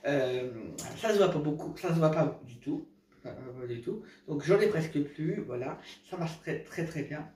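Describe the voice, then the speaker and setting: A man's voice: fairly high pitch, animated, a little mellow. A man with a cold, chatting casually to camera at home at night, hesitant, often restarting and correcting himself. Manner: halting, many restarts and self-corrections. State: congested with a cold. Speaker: vlogger giving home remedy tips. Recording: roomy, from a distance.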